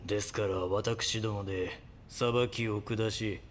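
An actor playing a solemn, self-assured and sinister cult guru, pontificating unhurriedly in an audio drama. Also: male voice, on the low side, flat delivery, measured pace, a little hiss or hum, close to an everyday voice. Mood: calm menace.

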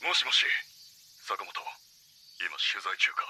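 A man's voice: deep voice, big pitch swings, slow, noisy background, exaggerated voice. A man playing a brusque, commanding middle-aged magazine editor-in-chief in an audio drama. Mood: grave, commanding. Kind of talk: Japanese audio drama acting.

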